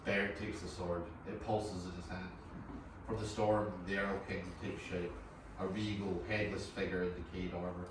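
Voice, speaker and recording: Low-voiced man narrating, very echoey. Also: level tone, moderate pace. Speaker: narrator reading stage directions. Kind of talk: scripted narration at a table read. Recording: very echoey room, far mic, clear noise.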